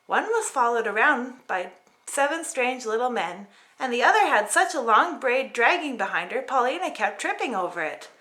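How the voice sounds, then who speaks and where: A woman narrating a children's story in an expressive read-aloud style. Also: on the high side, moderate pace. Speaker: storybook reader.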